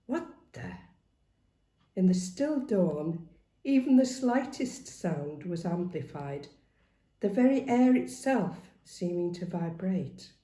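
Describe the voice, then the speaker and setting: A middle-aged woman narrates a dramatic story at an unhurried pace. Her voice is lowish and mellow, with expressive delivery, in an echoey room. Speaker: author reading their own book aloud. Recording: roomy, echoey home recording.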